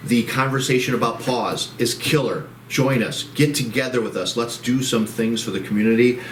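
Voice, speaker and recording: A man's voice: mid-range voice, normal speed, distant mic, a little hiss or hum. A man co-hosting a casual chat show, rambling with many restarts, his voice soothing. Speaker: co-host. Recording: echoey room, off-mic.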